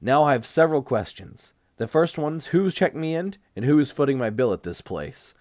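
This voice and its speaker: A man's voice: medium pitch, animated, moderate pace, a little mellow, no echo, clean studio sound, pitched above the performer's own voice, natural voice. A man voicing a tough, sardonic patient in an audiobook, blunt and commanding with a threatening edge. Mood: defiant. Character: tough, demanding, sardonic escaped male patient. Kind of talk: audiobook character dialogue.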